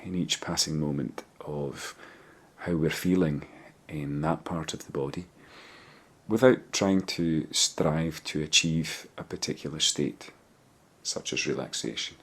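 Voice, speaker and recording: A man leading a guided body scan meditation, giving gentle, measured instructions with pauses. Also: a bass voice, slightly rough.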